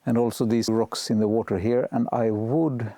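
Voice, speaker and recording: A man with a cold and a sore throat, vlogging outdoors at night and thinking aloud with pauses and restarts. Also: mid-range voice, fairly even intonation, dark tone, dry recording, studio quality. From Sweden. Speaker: photography vlogger. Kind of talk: casual vlog narration.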